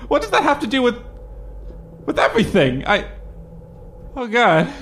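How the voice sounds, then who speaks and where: A man playing an anxious young man in a fan audio drama, talking in a halting, rambling, self-questioning way. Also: very high voice, wildly swooping pitch, a bit bright, slight background noise, heavily acted. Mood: panicked. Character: anxious young man facing his identity.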